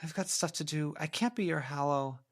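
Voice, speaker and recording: A man's voice: a little high, brisk, pitched above the performer's own voice, lightly acted. A man voicing a blunt, sceptical young girl with a casual way of talking, in an audiobook. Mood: apologetic, insistent. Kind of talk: audiobook character voice.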